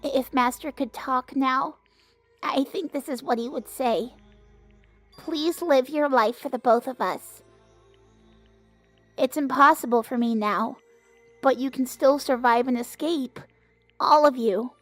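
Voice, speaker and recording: A woman voicing a gentle, earnest AI computer program in an anime fan dub. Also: high voice, slow pace, pauses often, soft background music.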